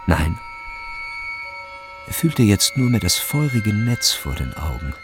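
A man narrating a literary radio drama in a measured, deliberate way, with a gravelly voice. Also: lowish voice, very animated, heavily acted. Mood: measured, intense. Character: third-person narrator of a turn-of-the-century school story. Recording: studio with music behind. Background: instrumental music.

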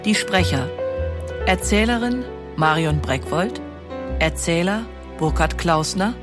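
Female announcer, scripted credits read, lowish pitch, clearly audible music bed. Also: expressive, takes it slowly.

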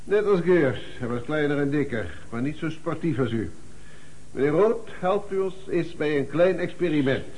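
An actor playing a shrewd, insistent police commissioner in a Dutch radio drama, questioning theatrically. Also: male voice, on the high side, animated, moderate pace, a little mellow, natural voice, poor audio. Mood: sly, controlled.

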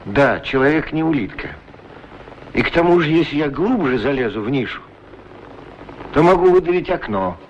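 A man plays a thoughtful, melancholy shoeshine worker in an old radio play. He is earnest and hesitant, with a very animated pitch. Clear noise runs behind the voice. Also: moderate pace, long pauses, lo-fi sound, natural voice. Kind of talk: 1960s radio play acting.

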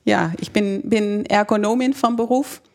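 Dutch-accented woman, native Dutch speaker, explaining her field in a relaxed interview, pausing for words. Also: mid-range voice, vocal fry. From Netherlands. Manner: thoughtful, searching for words, occasional grammar slips. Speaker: ergonomist, guest. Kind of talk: conversational interview.

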